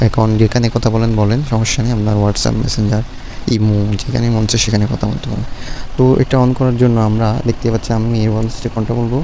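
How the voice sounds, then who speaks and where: Fast, flat-toned Bangladeshi man. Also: fairly low pitch. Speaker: tech tutorial YouTuber. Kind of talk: casual screen-recorded app tutorial. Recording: noisy, steady vehicle-like background hum. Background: clear background noise.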